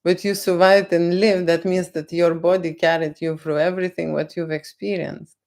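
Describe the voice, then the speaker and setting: A woman with a Lithuanian accent, not a native English speaker, answering viewers' questions on a livestream in a slow, lecturing way and tagging "okay?" onto her points. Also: fairly low pitch, level tone, vocal fry, darkish tone. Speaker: photographer on a livestream. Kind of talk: live Q&A chat with viewers.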